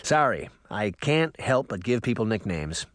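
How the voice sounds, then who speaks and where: A man's voice: on the low side, big pitch swings, unhurried, no room sound. A man voicing a breezy, teasing uncle in a storybook, playful and familiar. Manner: playful, ribbing, casual. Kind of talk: audiobook character voice.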